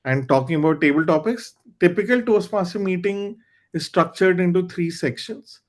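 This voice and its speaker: A man with a high voice hosts a club meeting over a video call. His is Hindi, and he talks in an earnest, candid way, reaching for words with small fillers. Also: moderate pace. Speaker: meeting host. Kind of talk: online club meeting talk.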